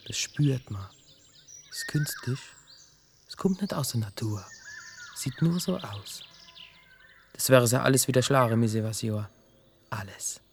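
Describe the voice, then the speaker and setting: A man playing a stubborn middle-aged forest local in a radio play, muttering in blunt, halting fragments. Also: medium pitch, vocal fry. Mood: brooding, insistent. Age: middle-aged or older. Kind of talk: naturalistic radio play acting. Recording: outdoor birdsong, faint background noise.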